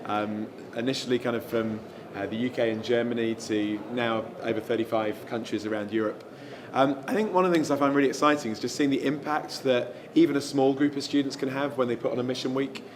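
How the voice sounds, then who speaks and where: A man who is a guest evangelist, answering interview questions in a relaxed, thoughtful way with frequent ums. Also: mid-range voice, brisk, few pauses, background noise. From England.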